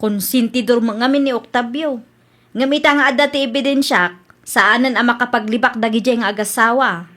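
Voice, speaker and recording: Casting: woman as scolding, insistent wife for radio comedy drama; high pitch, sharp bright tone. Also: fairly quick, natural voice. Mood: exasperated. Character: nagging, suspicious wife accusing her neighbour of theft.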